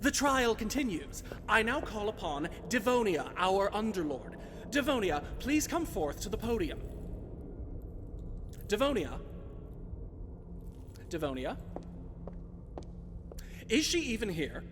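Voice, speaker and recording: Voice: medium pitch, very animated, slow pace, pauses often. A performer playing a pompous, showy fae judge presiding over a chaotic mock court, prone to flustered stammering, in a fantasy audio drama. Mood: grandiose. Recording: noisy, long echoing hall reverb.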